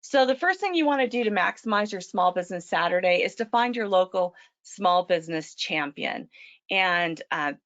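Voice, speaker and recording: A woman presenting a small-business webinar, a little hoarse from allergies, often clearing her throat and saying um. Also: fairly low pitch, animated, normal speed, fairly bright tone, no room sound. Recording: dull lo-fi web call audio.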